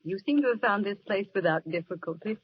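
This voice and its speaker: An actress playing a young nurse in love and grieving, wistful and earnest, in 1930s radio drama style. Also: female voice, medium pitch, expressive, fast, a little hiss or hum, lightly acted. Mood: tender, searching. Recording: dull vintage radio recording, music behind.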